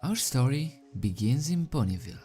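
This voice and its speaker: A video host and fan fiction reader with a hesitant, halting, self-deprecating manner, speaking into a desk microphone. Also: mid-range voice, sing-song, warm tone, husky. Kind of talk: casual rambling chat, then storybook narration. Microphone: desk microphone without pop filter. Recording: background music under the voice. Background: music.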